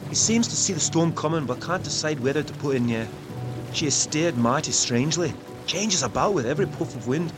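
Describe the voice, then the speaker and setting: An actor playing an observant, plain-spoken seaside coast guard in a period audio drama, matter-of-fact and knowing. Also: male voice, fairly high pitch, animated, fairly quick, over music, lightly acted. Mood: wary, knowing.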